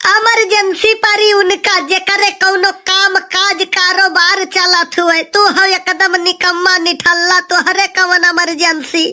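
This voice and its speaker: Sharp-tongued village mother for cartoon comedy: extremely high, bright voice, Awadhi accent, argumentative scolding. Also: flat delivery, slow, hardly any pauses, heavily acted. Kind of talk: comic cartoon dialogue.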